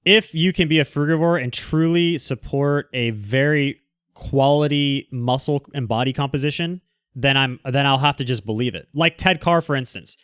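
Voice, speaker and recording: A man with a bright, forward voice at middle pitch, captured dry and close. He sounds like the informal host of a live chat. He talks casually and in a conciliatory way. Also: moderate pace, smooth, dry recording.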